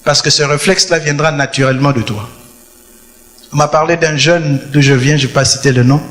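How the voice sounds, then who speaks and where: A man preaches with a fairly high voice, hammering home his points and repeating phrases for weight. His voice rings out in a church hall with a long, trailing reverb. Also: steady tone, brisk, fairly bright tone. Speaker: pastor. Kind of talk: sermon.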